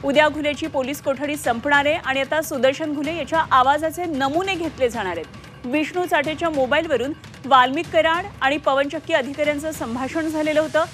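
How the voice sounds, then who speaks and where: High-pitched woman news anchor. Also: brisk, fairly bright tone. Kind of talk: scripted news reading. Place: TV news studio. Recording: music bed behind voice.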